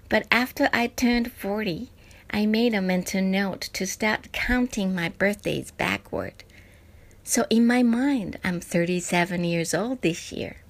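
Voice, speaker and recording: Woman. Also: medium pitch. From Japan. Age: 40s. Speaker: presenter of a personal parenting essay. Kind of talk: scripted personal narration.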